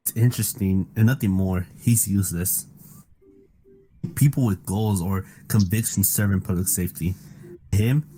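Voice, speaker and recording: A man's voice: sits low, normal speed, dark tone, natural voice, poor audio. A man voicing a stern, dismissive public safety officer in an amateur anime fan dub, with noise on the recording. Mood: disdainful.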